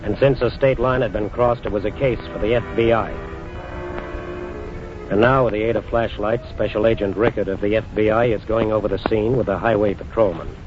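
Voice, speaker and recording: A man narrates an old radio crime drama in a measured, grave delivery, his voice sitting on the low side. The sound is muffled like a 1940s broadcast, with music clearly playing beneath him. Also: steady tone.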